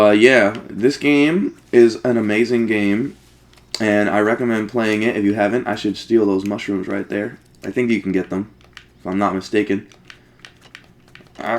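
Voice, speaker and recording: Low-voiced young man casually gaming. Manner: sweary, rambling, thinking aloud. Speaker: let's play gamer. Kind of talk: casual game commentary.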